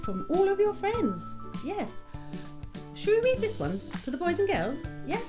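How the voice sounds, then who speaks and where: A woman's voice: very high-pitched, huge pitch swings, a little slow, over music. A woman presenting a children's puppet show, coaxing in a sing-song way with simple phrases and questions. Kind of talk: children's entertainer talk to a puppet and young audience.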